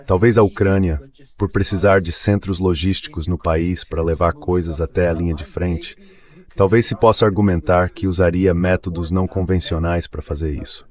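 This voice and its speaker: Low-voiced Brazilian man giving analysis. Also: darkish tone. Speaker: guest commentator. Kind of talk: translated voice-over of political analysis.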